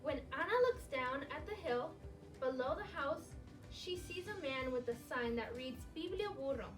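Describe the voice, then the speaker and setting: A woman teacher reading a picture book aloud to young children in an expressive, clearly phrased storytelling way. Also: high-pitched, normal speed, far from the mic, over music.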